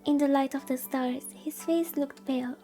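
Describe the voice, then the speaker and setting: High, mellow-voiced woman livestreamer reading aloud. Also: steady tone, moderate pace, soft background music. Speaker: streamer reading a visual novel aloud. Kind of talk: casual livestream reading with commentary.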